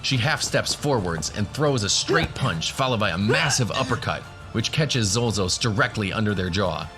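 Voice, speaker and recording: A man's voice: fairly low pitch, expressive, normal speed, a bit bright. A man giving scripted narration for a fantasy audio drama. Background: music.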